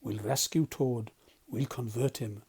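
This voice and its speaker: Storybook rat, read by a man: brisk, sensible, matter-of-fact, mellow. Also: medium pitch, steady tone, slightly rough. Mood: eager. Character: brisk, practical, friendly male rat.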